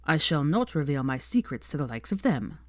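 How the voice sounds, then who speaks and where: A woman's voice: low-pitched, big pitch swings, brisk, dry recording. A woman voicing a haughty, proud young wizard man in an audiobook, with a dry drawl. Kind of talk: audiobook character voice.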